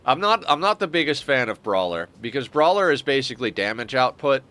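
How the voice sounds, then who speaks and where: A man's voice: mid-range voice, very animated, a little fast, sharp tone. A young man with a stuffed-up nose giving rambling, wry commentary while streaming a game. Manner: rambling, self-correcting, wry. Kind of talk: casual gameplay commentary.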